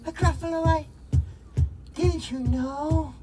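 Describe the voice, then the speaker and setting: A woman voicing a small, clever brown mouse who bluffs bigger animals, in a high, eager storybook voice. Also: steady tone, slow pace, over music. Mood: bluffing.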